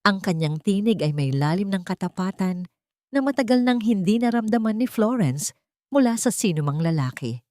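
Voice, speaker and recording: A woman reading a scripted dramatic story in a measured, solemn way. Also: medium pitch, animated, darkish tone, dry recording, studio quality. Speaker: story narrator. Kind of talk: scripted dramatic audiobook-style narration.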